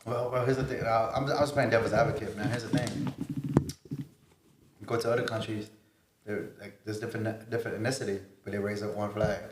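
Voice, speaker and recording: Male podcast panelist, lowish voice, slangy and halting casual chat, roomy echo and noticeable noise. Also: level tone. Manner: informal, halting, often addresses listener as bro or man. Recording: echoey room with clear background noise.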